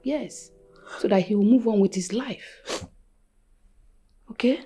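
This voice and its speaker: An actress playing a tender, gently insistent mother, soothing and repetitive in a family drama. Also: medium pitch, takes it slowly, husky, soft background music. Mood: soothing, urging. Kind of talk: Nigerian family drama film acting.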